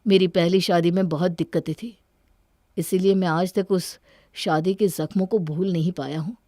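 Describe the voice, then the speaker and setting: A woman's voice: on the low side, steady tone, normal speed, mellow, no echo, clean studio sound, natural voice. A woman voicing a hurt, guarded young husband in a cartoon story, with an earnest, plaintive manner. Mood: guarded, sombre.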